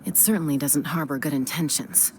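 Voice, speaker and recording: A woman's voice: sits low, fairly quick, a little mellow, slight background noise, close to an everyday voice, low-quality recording. A woman voicing a composed, resolute warrior leader in a video game, firm and matter-of-fact.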